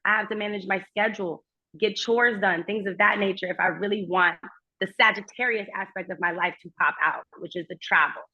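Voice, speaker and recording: A woman's voice: mid-range voice, steady tone, brisk, bright, forceful tone. A woman, a native German speaker, talking as a guest astrologer in a casual remote conversation. She speaks earnestly and personally, in long flowing runs. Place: remote, while traveling. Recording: muffled lo-fi remote audio.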